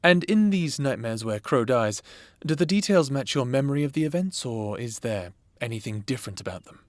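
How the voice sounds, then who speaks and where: A man's voice: medium pitch, lively intonation, no echo, lightly acted. Man playing a formal therapist, very dry sound. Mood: measured, probing. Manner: measured, clinical, formal diction. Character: patient, formal male government therapist. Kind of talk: cinematic audio roleplay acting, addressing the listener. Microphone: close, dry studio mic.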